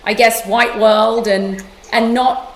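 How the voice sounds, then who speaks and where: A middle-aged woman from London, speaking as an interview guest in a passionate, emphatic way with quick restarts. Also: on the high side, flat delivery, reverberant, a little hiss or hum. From London, England. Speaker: guest author and yoga teacher. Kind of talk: conversational interview.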